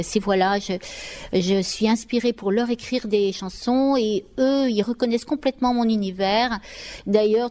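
A middle-aged woman speaks as an interview guest. Her voice sits at an average pitch. She answers off the cuff, thoughtfully, pausing to hunt for the right words. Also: a little mellow. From Paris, France. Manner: hesitant, searching for words, reflective. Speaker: interview guest, children's songwriter.

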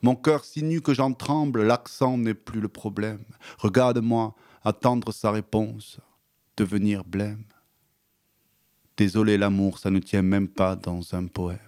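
A man with a southwestern French accent and a fairly low voice, talking with a radio host. His speech is halting and full of pauses in conversation, and steady and rhythmic when he recites. The studio sound is dry and close. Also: no room sound. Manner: measured, hesitant in chat, rhythmic when reciting. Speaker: guest author. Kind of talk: radio interview and recited poem.

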